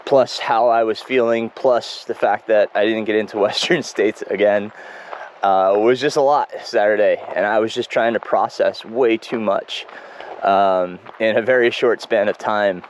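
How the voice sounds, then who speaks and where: A man speaking in a casual running video diary, congested and froggy, reflective and halting with many ums and restarts. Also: fairly low pitch, slight background noise. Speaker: running vlogger. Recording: dull lo-fi home recording.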